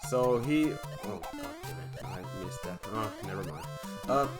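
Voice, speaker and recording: Slow, hesitant Finnish-accented man. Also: fairly low pitch, very animated. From Finland. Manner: hesitant, many restarts and filler words. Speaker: let's play host. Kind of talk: casual commentary while playing a video game. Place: at home playing on a TV. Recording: game music playing underneath. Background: video game music.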